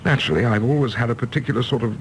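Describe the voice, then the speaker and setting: A man with an English accent playing a troubled middle-aged gentleman in a radio drama, polite, hesitant and clipped. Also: medium pitch, rapid-fire, slight background noise, close to an everyday voice, rough recording. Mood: uneasy. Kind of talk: stage play performed as broadcast drama.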